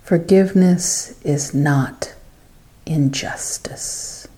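A woman leading a scripted devotional guided meditation, with hushed phrase endings and long pauses. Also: low voice, in a whisper, low-quality recording.

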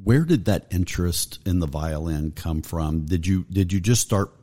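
Deep-voiced male podcast host. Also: normal speed. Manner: relaxed, conversational, asks long rambling questions. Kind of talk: casual interview chat.

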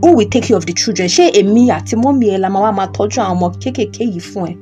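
A woman's voice: mid-range voice, animated, very fast, dark tone, faint music behind, close to an everyday voice. A woman playing a stern but caring older father in an audio drama, emphatic and admonishing, with a Nigerian accent.

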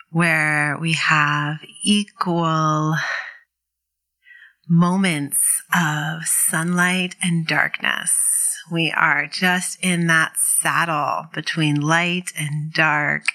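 A woman leads a guided meditation as its teacher. Her voice sits slightly low for a woman and has a bright, forward tone. She speaks very slowly, with a gentle and encouraging delivery that gives each instruction space. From New England, living there.

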